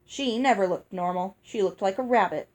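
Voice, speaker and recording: A woman reading a children's novel aloud as scripted, expressive storybook narration. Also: medium pitch, normal speed. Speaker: audiobook reader.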